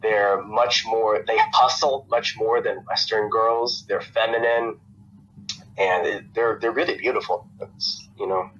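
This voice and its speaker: A man's voice: lowish voice, fairly even intonation, unhurried, fairly bright tone, husky, highs cut off. A young American man, a podcast guest, talking casually in a rambling way with lots of fillers and restarts. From United States. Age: young adult.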